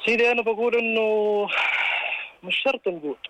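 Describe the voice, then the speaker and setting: A man's voice: high-pitched, a little fast, fairly bright tone, no echo, low-quality recording. A man in his thirties with a Jordanian Arabic accent, chatting casually and telling anecdotes as a caller. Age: 30s. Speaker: radio show caller. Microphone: phone call.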